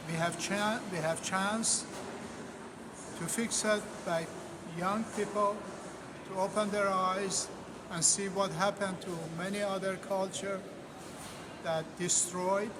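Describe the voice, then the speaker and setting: An older man in his seventies speaks slowly, in short and emphatic bits of broken grammar. His voice sits high for a man. A steady noisy ambience runs behind him. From Iran. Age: 70s. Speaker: interviewee. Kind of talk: casual interview answers.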